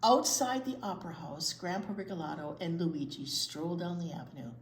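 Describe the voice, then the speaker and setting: American lady doing kids read aloud, super expressive, lowish voice, bit of room echo. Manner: expressive, acts out the story with drawn-out words and asides. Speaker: children's librarian and storytime reader. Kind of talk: read-aloud storytime for young children. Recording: roomy echo, faint background noise.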